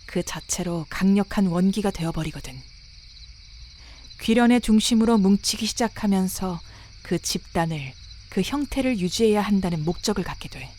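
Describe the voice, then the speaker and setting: A woman's voice: mid-range voice, lively intonation, moderate pace, a little mellow, lightly acted. A woman voicing a blunt, world-weary senior exorcist in a visual novel drama, explaining things in a casual, matter-of-fact way. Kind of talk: dramatic character dialogue, explanatory. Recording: noisy bed of rain and night insects.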